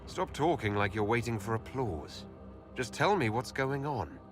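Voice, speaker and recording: An actor playing a blunt, sceptical elven hero in a video game, direct and dry in manner. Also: male voice, mid-range voice, expressive, unhurried, lightly acted. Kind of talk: video game cutscene acting. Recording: music under the voice.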